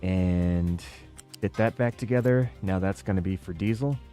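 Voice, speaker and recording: A man giving casual, musing commentary while playing a building game, thinking aloud with relaxed asides. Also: low voice, measured pace, faint music behind. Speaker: gaming video creator.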